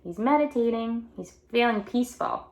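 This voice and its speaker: A woman's voice: a little high, animated, normal speed. A woman reading aloud to young children as a storyteller, explaining the book in simple, repetitive phrases. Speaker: read-aloud teacher or storyteller.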